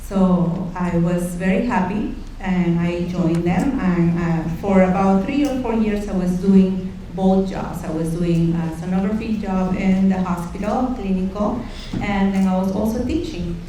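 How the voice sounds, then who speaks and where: A middle-aged woman giving a measured, somewhat hesitant talk to an audience about her own career as an educator. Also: medium pitch, dark tone. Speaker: university sonography educator. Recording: very echoey room, distant mic, faint noise.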